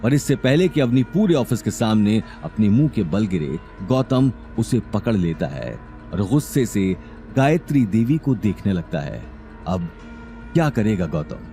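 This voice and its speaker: A man's voice: lowish voice, big pitch swings, unhurried, mellow, faint music behind. A man narrating a scripted audio drama serial in a dramatic storytelling style.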